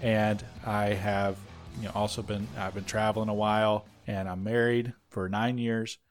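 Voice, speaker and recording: A young man in his late twenties from North Georgia chats loosely as a podcast host. His voice sits low, and he wanders through his thoughts with fillers. Faint music plays behind him. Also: moderate pace. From North Georgia, USA. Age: 20s. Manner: relaxed, rambling, with fillers. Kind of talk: casual podcast chat.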